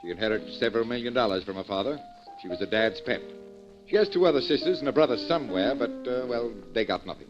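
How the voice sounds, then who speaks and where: An actor playing an unruffled, evasive middle-aged father in an old radio drama, measured, dry and dismissive. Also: mid-range voice, lively intonation, natural voice. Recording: muffled vintage radio recording. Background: piano music.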